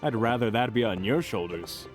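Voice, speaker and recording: A man's voice: mid-range voice, animated, moderate pace, faint music behind, lightly acted. A man playing a prickly, sarcastic male mentor in a fantasy audio roleplay, speaking in a dry, easily offended way.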